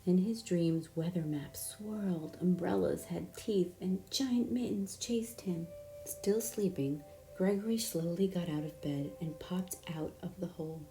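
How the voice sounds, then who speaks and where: Woman, low mellow voice, children's read-aloud narration, light music behind. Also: unhurried, rough recording. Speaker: storybook reader.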